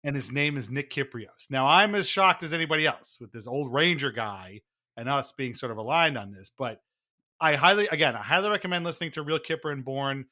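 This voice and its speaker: A man hosting a podcast, talking casually about hockey in a rambling, animated, conversational way. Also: medium pitch, fairly quick. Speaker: podcast host.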